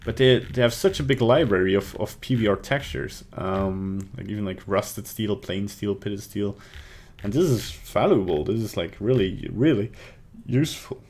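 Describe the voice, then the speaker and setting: Low-voiced Belgian man muttering while he works. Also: normal speed, a little mellow. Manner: rambling, thinks aloud, mutters to himself while working. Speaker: livestreaming 3D artist. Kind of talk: casual live tutorial with chat. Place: home room at a desk. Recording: faint keyboard typing and clicks.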